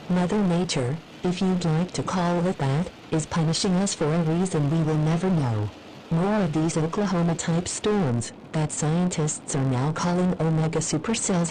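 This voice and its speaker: Woman playing a low, dark-voiced solemn official. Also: a little hiss or hum, poor audio. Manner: formal, measured. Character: solemn female weather service chief addressing citizens in catastrophe. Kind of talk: scripted emergency address.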